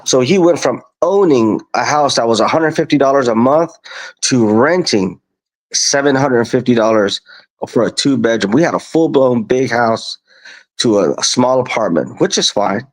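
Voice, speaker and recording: A man in his fifties from Kansas, born in Colombia, telling his story casually and deliberately as a podcast guest. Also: mid-range voice, lively intonation. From born in Colombia, raised in Kansas, USA. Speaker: podcast guest, lawn business owner.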